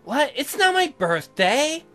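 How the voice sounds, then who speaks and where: A man's voice: very high voice, very animated, takes it slowly, a bit bright, voice pushed up, exaggerated voice. A man voicing a blunt young newlywed woman colonist in a visual novel, questioning and easily put out, hamming it up. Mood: surprised. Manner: indignant, questioning. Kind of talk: hammy visual novel character read-out. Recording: music behind the voice.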